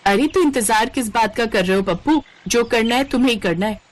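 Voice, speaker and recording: A woman's voice: fairly high pitch, a little fast, close to an everyday voice. Woman playing eager young slum girl. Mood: coy, urging.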